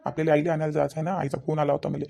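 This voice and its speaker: A man voicing a pushy, good-hearted young best friend in a cartoon, loud, insistent and teasing, with a Varhadi Marathi accent. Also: fairly high pitch, level tone, rapid-fire, gravelly. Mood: teasing, coaxing. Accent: Varhadi Marathi from Vidarbha. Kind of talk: cartoon phone-call dialogue.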